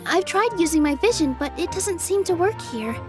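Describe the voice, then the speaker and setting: A woman playing a gentle, earnest young dancer turned forest fairy in a video game, speaking politely. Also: very high-pitched, animated, moderate pace. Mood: earnest, explaining. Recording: music playing behind the voice.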